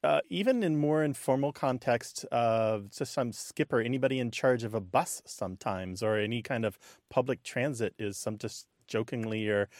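A man's voice: medium pitch, normal speed, few pauses, darkish tone, no echo, clean studio sound. A man co-hosting a call-in show, casually explaining things in a conversational way. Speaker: radio show co-host.

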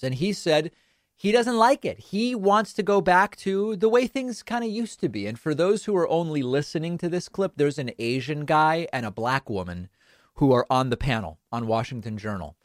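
A man hosting a political commentary show, speaking in a measured, conversational way. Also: on the high side, no room sound, clean studio sound.

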